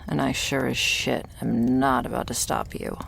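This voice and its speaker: A woman playing a guarded, sardonic young vampire's servant in an intimate ASMR roleplay, speaking softly and dryly, often near a whisper. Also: level tone, moderate pace, creaky, a little hiss or hum, heavily acted. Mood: wry, probing. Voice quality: low, soft voice often dropping into whisper. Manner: dry, hesitant, foul-mouthed, sighing delivery. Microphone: close ASMR microphone.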